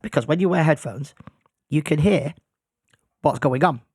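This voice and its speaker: A man's voice: a little high, normal speed, darkish tone, breathy edge, dry recording, studio quality. A man who is losing his voice, hosting a casual solo podcast in a self-deprecating, rambling way. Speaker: podcast host. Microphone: podcast microphone.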